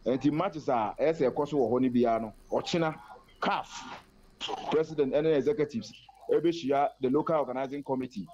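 A man reports live by phone for a sports radio show, moving between Twi and English. His voice is mellow and dark, with a middle pitch. The sound comes through a dull, muffled phone line. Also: slight background noise. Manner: fast, fluent report mixing Twi and English.

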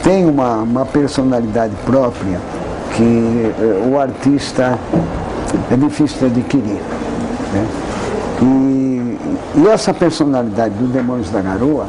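A man in a street interview, talking slowly and pausing to find his words. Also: medium pitch, music in the background, rough recording. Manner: slow, pausing, groping for words.

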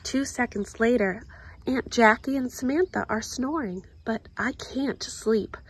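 A woman reading a children's picture book aloud in an expressive, deliberate storytelling manner. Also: a little high, breathy edge, poor audio. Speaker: story time reader.

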